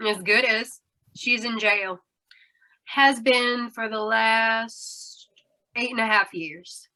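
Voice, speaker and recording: An actress plays a hard, aging midway woman from a noir radio play. Her voice is raised and sharp, with a forceful ring. She talks in clipped, brassy slang and trusts nobody. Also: fairly high pitch, slow pace, poor audio. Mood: gruff, wary. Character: tough, suspicious aging carnival owner woman, sharp-tongued. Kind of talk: 1940s radio noir acting.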